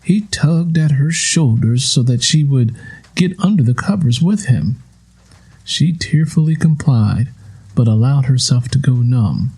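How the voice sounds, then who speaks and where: A man reading scripted literary fiction as an audiobook narrator, in a measured, solemn storytelling manner. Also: mid-range voice, warm tone, breathy edge, rough recording.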